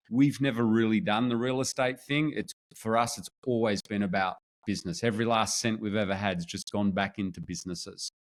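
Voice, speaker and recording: A man in his fifties with an Australian accent, a podcast guest telling his story in a friendly, relaxed way. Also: lowish voice. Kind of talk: casual interview chat.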